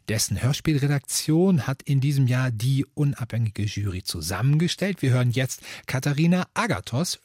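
A man's voice: mid-range voice, a little mellow, husky, no echo, clean studio sound. A man moderating an awards programme in a scripted radio presenting style. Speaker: host and moderator of an award podcast.

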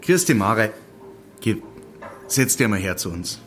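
A man's voice: fairly low pitch, sing-song, unhurried, fairly bright tone, soft background music. A man playing a scheming, patronising village dignitary in an audio drama, hedging and cajoling in Bavarian dialect.

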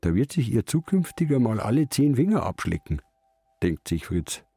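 An actor playing a gruff, taciturn old fisherman in a radio drama, speaking and narrating laconically with a Bavarian accent. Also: medium pitch, big pitch swings, normal speed, warm tone, no echo, exaggerated voice. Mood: musing, grumbling. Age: older. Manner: laconic, grumbling dialect speech. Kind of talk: radio drama, part narration in third person.

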